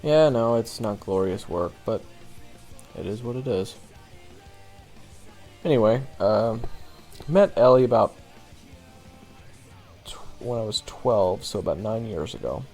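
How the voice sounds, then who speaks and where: A man playing a jaded, sardonic monster hunter in his early twenties, telling his story in a casual, wry, halting way for an audio roleplay. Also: on the low side, lively intonation, darkish tone, faint music behind. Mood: dismissive, wry. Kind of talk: audio roleplay, conversational first-person storytelling.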